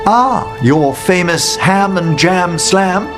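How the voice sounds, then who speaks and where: A man playing a courteous, scholarly gentleman necromancer in a video game, speaking precisely and eloquently with gentle enthusiasm. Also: sits high, expressive, slow pace. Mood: polite, pleased. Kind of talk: video game character acting. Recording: music behind.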